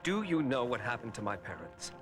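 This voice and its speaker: Actor as teenage male cartoon hero, earnest, reluctant, animated pitch, loud music behind. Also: brisk, lightly acted. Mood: flustered, protesting. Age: young adult. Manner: earnest, expressive. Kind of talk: animated series voice acting.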